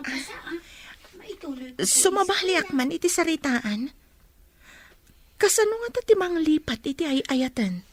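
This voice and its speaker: A woman's voice: sits high, big pitch swings, a little slow, long pauses, no room sound. A woman playing a lovesick, pleading young student in an audio drama. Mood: wistful.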